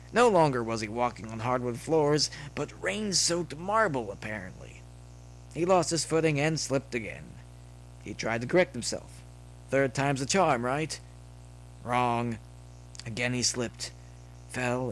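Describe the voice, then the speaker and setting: Man narrating a story, noisy recording. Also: medium pitch. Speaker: narrator reading fan fiction. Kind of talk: expressive scripted story narration.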